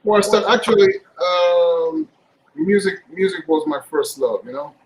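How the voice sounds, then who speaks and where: A middle-aged man, a guest actor chatting casually on a video call, relaxed and jokey. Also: high-pitched, measured pace, low-quality recording. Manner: relaxed, laughs often, jokey. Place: indoors, room with curtains. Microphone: webcam mic on video call.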